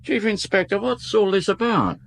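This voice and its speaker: An actor playing a defensive nightclub owner in a radio drama, talking in rough everyday grammar. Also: male voice, sits high, big pitch swings, normal speed, full character voice.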